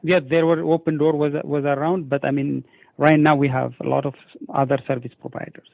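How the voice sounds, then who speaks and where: A middle-aged man with an Ethiopian accent answers interview questions haltingly, repeating words and stammering on word starts, on a narrow lo-fi recording. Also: on the high side, little pitch movement, gravelly, dry recording. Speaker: newcomer program coordinator, interview guest.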